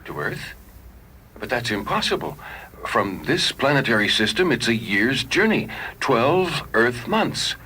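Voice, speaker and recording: An actor playing a grave, blunt, matter-of-fact doctor in a science fiction radio drama. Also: male voice, medium pitch, very animated, measured pace, pushed and bright, slight background noise, low-quality recording. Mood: grave, clinical.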